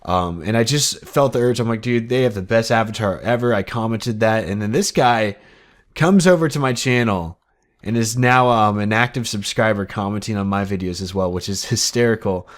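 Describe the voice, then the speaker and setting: A man's voice: on the low side, normal speed. An eighteen-year-old man hosting his own game channel, talking casually and rambling upbeat with lots of fillers. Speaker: gaming channel host. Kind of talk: casual Minecraft commentary.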